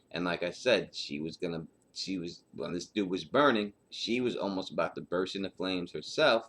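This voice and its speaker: A man's voice: low voice, a bit bright. A man giving informal, unscripted commentary as a host, in a rambling, colloquial way that circles back over points. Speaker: host of book chapter breakdown.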